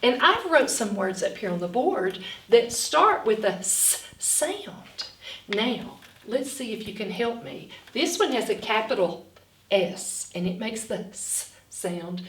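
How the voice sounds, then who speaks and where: A woman reading and teaching phonics to young children in an expressive, instructional way, sounding out words. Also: on the high side, slow. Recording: roomy echo, distant from mic.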